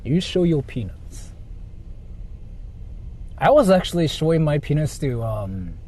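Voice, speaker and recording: A man's voice: a little high, big pitch swings, a little slow, mellow, slightly rough. A man livestreaming, chatting casually with viewers and reading donations in a rambling, joking way full of fillers. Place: in Japan.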